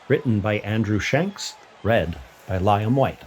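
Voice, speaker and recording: A man's voice: on the low side, expressive, unhurried, slight background noise. A man narrating a scripted short story as a literary reader. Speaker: fiction reader. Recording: music bed behind voice.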